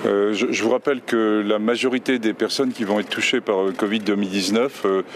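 A man giving a public briefing as an expert, explaining in a hesitant, halting way. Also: on the low side, fairly even intonation, a little hiss or hum.

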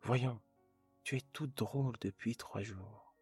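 A man's voice: mid-range voice, takes it slowly, a little mellow, breathy edge, faint music behind, pitched above the performer's own voice. A man voicing an anxious, eager husband who is a modest clerk, talking in a raised, pleading way.

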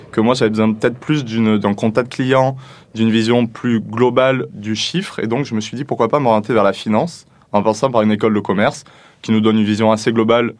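A man's voice: fairly low pitch, no room sound. A man, talking informally about himself in an interview. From southern France. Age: 20s. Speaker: business school student interviewee.

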